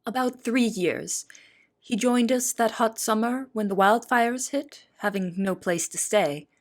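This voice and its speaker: A woman's voice: a little high, unhurried, natural voice. A woman playing an earnest young cook in an audio drama, narrating in formal, literary wording. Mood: polite, eager. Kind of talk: audio drama first-person narration and dialogue.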